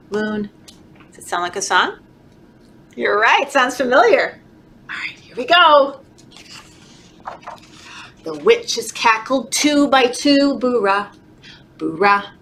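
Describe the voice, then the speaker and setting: A woman leading a children's story time, reading aloud in an animated, encouraging, sing-song way, as if talking to toddlers. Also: sits high. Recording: clearly noisy background.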